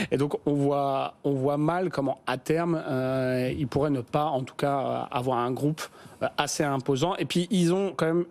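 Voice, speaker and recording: A French business journalist explaining stock valuations in a TV studio, pausing often with fillers and restarts. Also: male voice, mid-range voice. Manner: hesitant, many fillers and restarts. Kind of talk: studio business news discussion.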